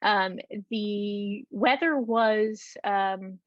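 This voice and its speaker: A woman's voice: mid-range voice, drawn-out words, dry recording. A woman presenting a travel talk and answering audience questions over a video call, hesitant with many ums and restarts. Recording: dull lo-fi video call audio.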